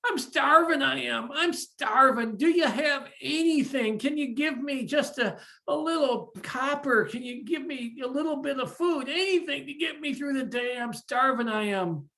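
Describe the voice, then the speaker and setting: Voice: high voice, moderate pace, pushed and bright, voice pushed up. A storyteller voicing a ragged, starving male beggar who pleads for food, in a told legend. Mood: pleading. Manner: whining, pleading.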